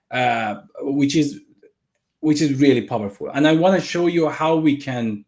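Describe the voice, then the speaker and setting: A man's voice: medium pitch, darkish tone. A man from Iran with an Iranian accent, a native Persian speaker, eagerly giving a technical presentation over a video call and often restarting his phrases. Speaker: guest presenter.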